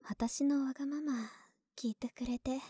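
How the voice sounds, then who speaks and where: A woman playing an affectionate, slightly clumsy young neighbor with a big-sister air in an ASMR voice drama, speaking softly and intimately, often in a whisper. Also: high voice, steady tone, moderate pace, no echo, clean studio sound, lightly acted. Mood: cozy, coaxing. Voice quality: breathy. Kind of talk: Japanese ASMR situation voice drama.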